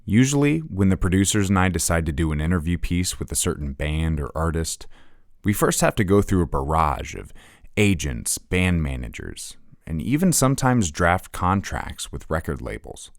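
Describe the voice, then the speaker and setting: An actor playing an earnest male rock podcast host, narrating in a scripted documentary style with dramatic, deliberate phrasing. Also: low voice, sing-song.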